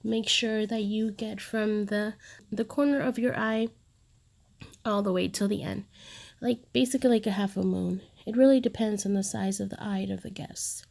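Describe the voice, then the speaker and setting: A woman's voice: mid-range voice, fairly even intonation, moderate pace, vocal fry, a little mellow. A woman in her thirties, a makeup artist with a stuffy nose from a cold, narrating a casual step-by-step tutorial voice-over. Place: home studio, recorded separately as voice-over.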